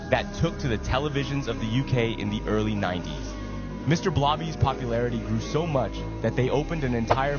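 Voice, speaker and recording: A man narrating a scripted documentary-style piece, in lo-fi clip audio. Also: fairly low pitch. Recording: dull lo-fi clip, loud music underneath.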